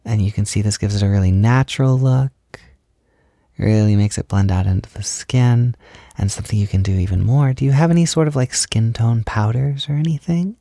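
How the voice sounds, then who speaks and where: Male, soft intimate roleplay, encouraging and gentle, gravelly fry, very dry close recording. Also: medium pitch, a little fast, no echo. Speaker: roleplay audio performer. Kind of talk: soft-spoken intimate roleplay, like a personal makeup tutorial.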